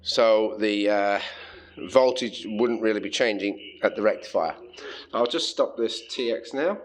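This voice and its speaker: A man's voice: lowish voice, measured pace, slight background noise. An Australian man giving a casual, chatty hands-on tech demonstration, thinking aloud as he handles his gear. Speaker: amateur radio hobbyist presenting a demonstration.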